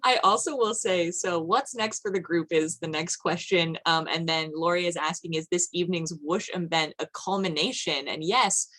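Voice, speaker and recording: A woman's voice: lowish voice, normal speed, hardly any pauses, a bit bright. A woman moderating an audience question session in a casual, conversational style on an online call. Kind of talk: casual post-show discussion.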